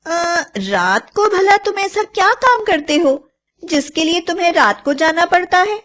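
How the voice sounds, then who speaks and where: A woman voicing a lonely, caring and anxious village mother, plaintive and expressive, in an animated moral story. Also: very high voice, a little slow, exaggerated voice. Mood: curious.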